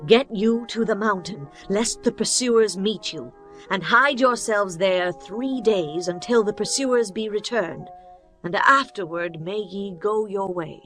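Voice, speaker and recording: A woman's voice: medium pitch, measured pace, a bit bright, soft background music, natural voice. Rahab, a woman voicing an earnest pleading woman. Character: Rahab, a bold clever innkeeper woman. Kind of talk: dramatized scripture reading.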